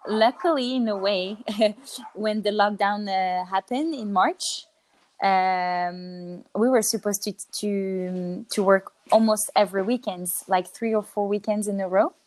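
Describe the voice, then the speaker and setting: A woman's voice: medium pitch, unhurried, darkish tone. A woman from France, a dance teacher, explaining things steadily in a casual interview over a video call.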